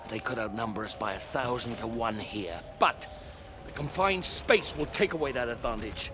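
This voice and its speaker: A seasoned Roman commander from a dramatic video game, acted by a man, resolute and grave. He speaks with emphatic, soldierly oratory in a middling male pitch. Clear ambient noise surrounds the voice. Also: expressive, moderate pace, natural voice. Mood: commanding, tense.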